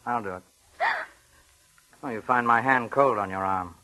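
A menacing intruder in an old-time radio thriller, acted by a man. His voice sits at a middling pitch with a tense, sharp edge. He talks in stiff, mock-polite phrases, pausing with quiet threat. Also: lightly acted, low-quality recording. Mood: mock-courteous menace. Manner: mock-courteous, formal, hesitant pauses with menace. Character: menacing, mannered, scarred male intruder posing as guest. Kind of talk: old-time radio suspense drama acting.

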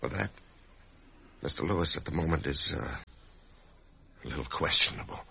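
An actor playing an anxious, death-haunted office man in a radio drama, speaking in a halting, emotional way. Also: a bass voice, animated, creaky, pushed and bright, slight background noise, exaggerated voice. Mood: uneasy. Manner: halting, emotional, swinging from brooding to agitated. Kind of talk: old-time radio drama acting, first-person narration and dialogue.

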